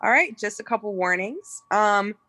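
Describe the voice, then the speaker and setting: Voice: medium pitch, expressive, normal speed, bright, forceful tone, no room sound. An improviser playing a matter-of-fact dog breeder making a sale in a comedy scene. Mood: businesslike.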